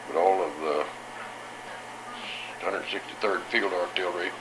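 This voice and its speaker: A man's voice: low-pitched, steady tone, a little slow, background noise. An elderly man, a war veteran, reminiscing in a plain, matter-of-fact way for an oral history. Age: 80s or older.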